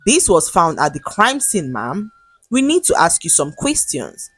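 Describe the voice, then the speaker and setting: A stern police interrogator, voiced by a woman, speaks formally and accusingly in a folktale. The delivery is husky and pointed, close and dry. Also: fairly low pitch, wildly swooping pitch, a little slow, no room sound, faint music behind, exaggerated voice. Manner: formal, pressing. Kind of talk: dramatic storytelling dialogue.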